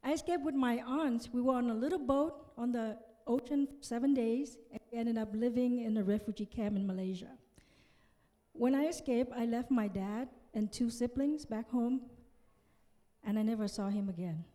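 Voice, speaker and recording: A woman's voice: fairly high pitch, normal speed, dark tone. A woman in her fifties from Vietnam, a native Vietnamese speaker with a Vietnamese accent, giving an earnest motivational speech and addressing her audience directly. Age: 50s. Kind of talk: motivational conference speech with personal story. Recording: reverberant large hall, stage PA.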